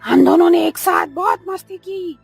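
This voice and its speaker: Very shrill vengeful spider witch. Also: lively intonation, normal speed, gravelly, music in the background, exaggerated voice. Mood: bitter reminiscing. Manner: shrill, menacing, dramatic. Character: vengeful giant female spider witch ghost. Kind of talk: Hindi cartoon horror story acting.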